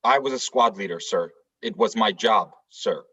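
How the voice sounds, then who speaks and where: A man's voice: fairly low pitch, expressive, normal speed, sharp tone, slightly rough, heavily acted. A man acting a stoic, dutiful Marine corporal in a stage play read-through, speaking with clipped, formal military deference. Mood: rigid, defensive. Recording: distant, slightly dull microphone.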